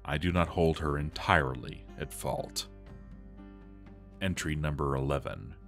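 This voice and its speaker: A man's voice: a bass voice, unhurried, fairly bright tone, faint music behind, heavily acted. A man voicing a despairing dwarf armorer who is slipping into madness, reading his journal in a grave, theatrical way. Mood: bleak despair.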